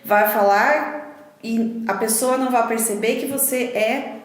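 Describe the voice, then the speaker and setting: A woman's voice: fairly high pitch, measured pace. A Brazilian woman who teaches English, explaining points on a casual podcast and spelling words out letter by letter. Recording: very echoey room.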